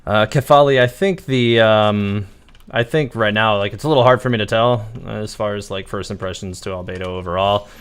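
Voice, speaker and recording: A man with a low voice streams a video game live. He talks casually to his viewers, thinking aloud and explaining what he is doing. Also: a little fast, clear, resonant tone.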